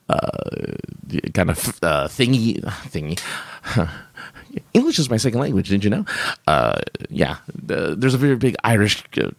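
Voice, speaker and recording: A man's voice: low-pitched, gravelly, dry recording, studio quality. A man hosting a live stream, chatting casually and reading viewer comments, rambling with many fillers and restarts and laughing often.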